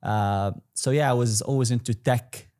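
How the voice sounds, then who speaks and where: A man's voice: mid-range voice, moderate pace, dark tone. A young man in his twenties whose first language is Arabic, talking casually as an interview guest, rambling with restarts and fillers. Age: 20s. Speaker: guest entrepreneur.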